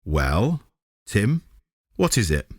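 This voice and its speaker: A man's voice: lowish voice, huge pitch swings, slow, husky, no room sound, clean studio sound, full character voice. A man voicing a kindly, hesitant old neighbour in a children's storybook.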